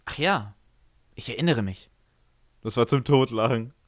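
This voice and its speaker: A man's voice: medium pitch, lively intonation, measured pace, no room sound, clean studio sound. A man reading scripted first-person comic narration for a fan fiction audio story.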